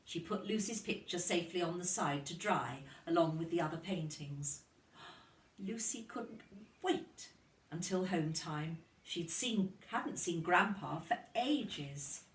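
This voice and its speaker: A woman tells a children's story slowly and deliberately. Her intonation is lively and expressive. She sounds a little away from the microphone in an echoey room. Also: lowish voice. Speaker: kindergarten teacher reading aloud. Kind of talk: storybook narration for young children. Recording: roomy, distant microphone.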